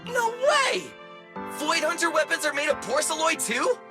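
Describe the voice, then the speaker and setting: A woman voicing an excitable, wide-eyed girl in video game dialogue, exclaiming in amazement, with music behind her. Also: high-pitched, a little fast, vocal fry. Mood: amazed. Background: loud game music.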